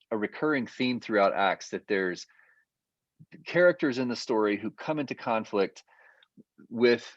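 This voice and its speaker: Low-voiced man lecturing with many fillers. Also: no room sound, muffled recording. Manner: explanatory, hesitant with frequent fillers. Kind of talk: adult education lecture. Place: remote session over video call.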